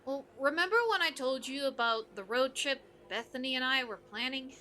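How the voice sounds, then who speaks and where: A woman playing a brash, excitable young coworker and friend in an audio drama, outspoken and chatty. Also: sits high, moderate pace. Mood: teasing, curious. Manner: exuberant, outspoken, nosy.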